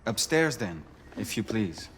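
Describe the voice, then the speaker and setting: An actor playing a courtly, playful French aristocrat with a French accent in a video game. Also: male voice, on the low side, sing-song, a little slow, a bit bright. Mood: suave, playful. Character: suave, flirtatious French aristocrat gentleman adventurer.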